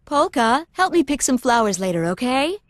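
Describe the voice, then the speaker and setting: A woman voicing a gentle, worried mother in a video game, tender and fretful. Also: high voice, big pitch swings, moderate pace, no echo, clean studio sound. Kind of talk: video game cutscene voice acting.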